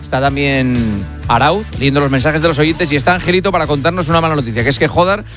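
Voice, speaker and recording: A man with an accent from Spain hosting a live sports radio show, speaking deliberately with hesitant pauses into a studio microphone. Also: a little high, over music. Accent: Spanish from Spain. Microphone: studio broadcast microphone.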